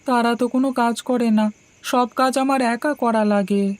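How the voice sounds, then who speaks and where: A woman's voice: a little high, steady tone, moderate pace, no room sound, lightly acted. A woman voicing a humble, honest, hardworking young boy, the youngest brother in a cartoon folktale. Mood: humble, earnest. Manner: meek, earnest, polite.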